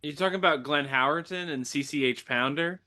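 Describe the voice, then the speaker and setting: A man's voice: fairly high pitch, fairly quick, bright, forceful tone. A man chatting playfully with friends, telling puns and jokes. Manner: playful, punning. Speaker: player. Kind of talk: casual chat.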